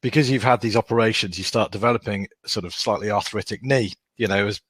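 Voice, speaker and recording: A man's voice: lowish voice, fast, a bit bright, husky, no echo. A man co-hosting, asking a thoughtful and somewhat hesitant personal question. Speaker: co-host. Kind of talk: conversational questioning.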